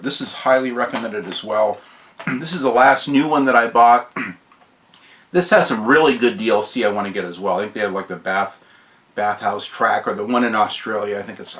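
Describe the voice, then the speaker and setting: Male game reviewer, bright forceful voice gone hoarse, unscripted, rambling and keen. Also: medium pitch. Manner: enthusiastic, rambling, repeats praise. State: hoarse from recent sickness, often clearing throat.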